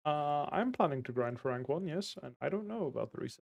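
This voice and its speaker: A man streaming a game, casually answering viewer questions in a relaxed, friendly way. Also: a little high, fairly quick, creaky, dry recording, highs cut off. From Belgium. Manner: relaxed and friendly, with easy fillers.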